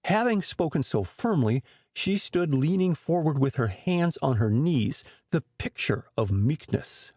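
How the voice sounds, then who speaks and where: A man narrates a storybook in a husky, slightly high voice. His delivery is expressive with lively pitch movement, in the manner of a scripted audiobook. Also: a little slow, hardly any pauses, dry recording, studio quality.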